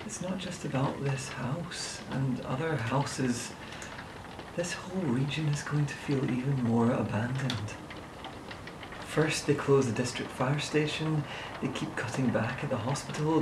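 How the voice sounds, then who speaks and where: Man acting weary idealist, slow hesitant speech, audio play, roomy, noisy recording. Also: on the high side, natural voice. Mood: dismayed. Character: weary, idealistic man longing to escape to forest work. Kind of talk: naturalistic audio drama. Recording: echoey, noisy room recording.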